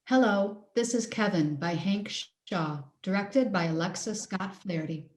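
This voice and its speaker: A woman reads stage directions in a scripted narrating style. Her voice is mid-pitched, and the room echoes clearly around it on a video call. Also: a little slow, a little mellow. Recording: roomy, echoing video call.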